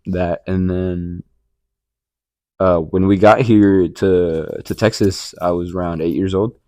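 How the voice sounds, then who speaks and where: A man's voice: low voice, fairly even intonation, slow, mellow, dry recording, clean studio sound. A young man from Rico, sharing his faith story in a rambling casual chat full of fillers and restarts. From Puerto Rico. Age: young adult. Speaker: podcast co-host.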